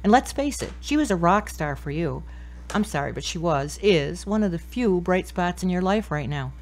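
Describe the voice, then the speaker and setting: A woman's voice: lowish voice, animated, moderate pace, a little mellow. A woman playing a caring but firm confidante in an audio drama, direct and probing. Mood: challenging.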